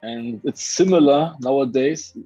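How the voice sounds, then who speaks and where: A man from Hungary speaks with a Hungarian accent, pausing and restarting often as he hunts for the right word. His voice is mellow and dark, recorded dry, with slightly dull, lo-fi sound from a remote call. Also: medium pitch, breathy edge, dry recording, soft background music. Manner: halting, searching for words, many restarts. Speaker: guest muralist. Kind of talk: casual interview chat. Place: home, remote video call.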